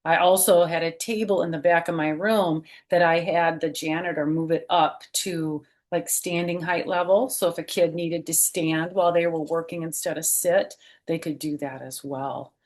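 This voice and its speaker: A middle-aged woman reading a picture book aloud for children, with gentle teacherly phrasing and chatty personal asides. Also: sits low, fairly even intonation, moderate pace, hardly any pauses.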